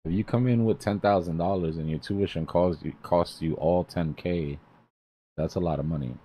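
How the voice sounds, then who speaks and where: A man's voice: low voice, steady tone, moderate pace, warm tone, slightly rough. A man hosting a live trading stream, talking casually like a mentor in a blunt, emphatic way and repeating himself for stress.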